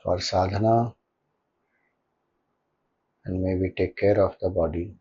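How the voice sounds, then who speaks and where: A man with an Indian accent leading a guided meditation as a spiritual teacher, speaking calmly and gently with deliberate pauses. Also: deep voice, husky, muffled recording.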